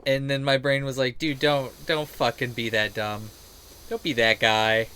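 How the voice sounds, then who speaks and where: Male game streamer, mid-pitched, casual and crude, rambling commentary, noisy ambient background. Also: little pitch movement, measured pace, pushed and bright. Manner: crude, jokey, rambling, sweary; acts out quoted remarks. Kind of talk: casual gaming commentary and chat. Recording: clear background noise.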